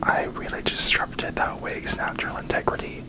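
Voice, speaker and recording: A man's voice: moderate pace, poor audio. A man making ASMR videos, chatting casually and candidly to viewers in a self-deprecating way.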